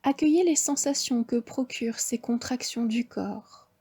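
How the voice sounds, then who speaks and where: A woman with a French accent guiding a yoga nidra relaxation, giving slow, soft, half-whispered breathing cues. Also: a little high, slightly rough. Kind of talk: guided relaxation instruction.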